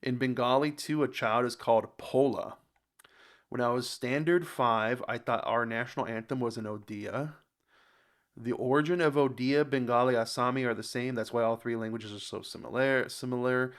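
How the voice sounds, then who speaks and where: A man with an American accent hosting a reaction video in casual commentary, a bit halting and stumbling over words as he reads aloud. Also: mid-range voice, fairly even intonation. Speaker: host of a reaction channel.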